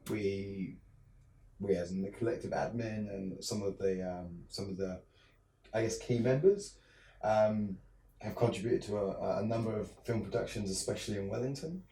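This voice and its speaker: A man's voice: on the low side, normal speed, dark tone, slight background noise. A young adult man from England, a filmmaker guest in a casual interview, talking hesitantly with many fillers and restarts. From southern England. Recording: roomy, distant mic.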